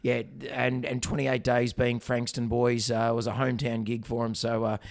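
Hoarse gravelly Australian man. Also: mid-range voice, monotone, moderate pace. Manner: rambling storyteller who laughs often. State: hoarse from singing at a gig. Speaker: veterinarian podcast host. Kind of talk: casual banter with filler words.

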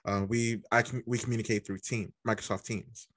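Man, podcast host, deep low voice, relaxed, laughs easily, dry recording. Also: fairly quick, dry recording. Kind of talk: casual conversation.

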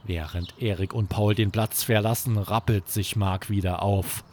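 A man narrating a scripted children's bedtime story as podcast host, with expressive, dramatic storytelling. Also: on the low side, normal speed.

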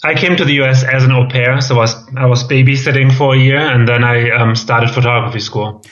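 German guy in his 30s, interview guest, kinda halting w/ ums, muffled lo-fi audio. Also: mid-range voice, steady tone, a bit bright. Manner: halting, with frequent ums and restarts. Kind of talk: casual interview chat. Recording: dull lo-fi sound.

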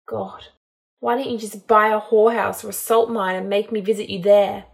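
A woman's voice: medium pitch, moderate pace, vocal fry, lightly acted. A woman voicing a drunk, bitter young witch in an audiobook, defiant, sweary and emotional. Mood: bitter, tearful. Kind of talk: dramatised audiobook narration.

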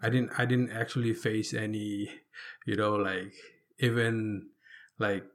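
A man from Fiji with a fairly low voice shares personal stories as an interview guest. He talks conversationally, often pausing and drawing out words as he gathers his thoughts. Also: flat delivery. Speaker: interview guest telling his life story.

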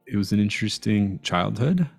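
Deep gravelly-voiced man, reflective. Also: animated, normal speed, darkish tone, faint music behind. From Iran, raised in Canada. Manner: thoughtful, searching, hesitant phrasing. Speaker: interview guest. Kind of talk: reflective conversation.

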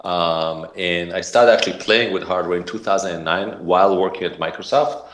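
Deep-voiced Israeli man, rambling. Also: normal speed, a bit bright, a little hiss or hum. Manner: rambling, many fillers and restarts. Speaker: podcast guest, startup founder. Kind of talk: casual interview talk.

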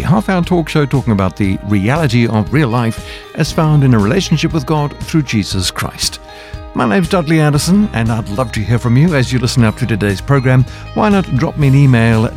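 A male talk show host reads his scripted introduction at an even, measured pace. His pitch rises and falls a lot, and his tone is mellow and soft. Faint music sits under his voice.

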